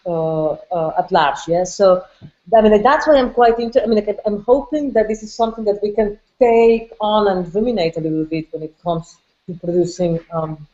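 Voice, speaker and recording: A woman leading an online seminar discussion, halting and restarting with many fillers as she thinks aloud. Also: medium pitch, darkish tone, muffled recording.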